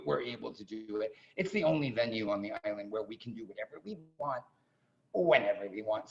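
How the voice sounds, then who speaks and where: Guy doing a casual host intro, fry in his voice, stumbles and repeats words, dull zoom-type audio. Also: on the low side, a little mellow. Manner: halting, repeats words and phrases. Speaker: host introducing a theatre show. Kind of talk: informal welcome speech with thanks and shout-outs. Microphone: video call. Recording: muffled video call audio.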